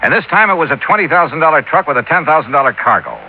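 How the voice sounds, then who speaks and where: A noisy, narrow-band old radio recording of a male hard-boiled investigator. His mid-pitched voice swings with emphasis, clipped, brusque and sardonic, in the manner of 1950s radio detective drama. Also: very animated, rapid-fire. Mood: impatient, accusing. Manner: clipped, sardonic, pressing questioner.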